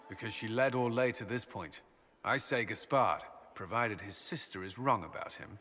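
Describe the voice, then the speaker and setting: An actor playing a blunt, wary military commander in a video game, speaking firmly and directly. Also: male voice, lowish voice, moderate pace, sharp tone, soft background music. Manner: direct, firm. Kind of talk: video game cutscene acting. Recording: long hall reverb.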